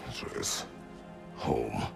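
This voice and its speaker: An actor playing a stern, protective warrior father in a video game, gruff and gravelly, speaking in terse formal commands. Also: very slow, music in the background. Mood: solemn. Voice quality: deep, gravelly. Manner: terse, commanding, formal phrasing without contractions. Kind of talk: dramatic video game acting.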